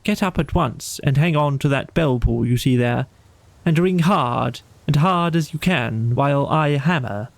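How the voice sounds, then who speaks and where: A man voicing a bossy, excitable water rat in a children's storybook, urgent and impatient. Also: medium pitch, unhurried, gravelly, no echo. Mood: brusque, commanding. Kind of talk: animal character in a children's storybook.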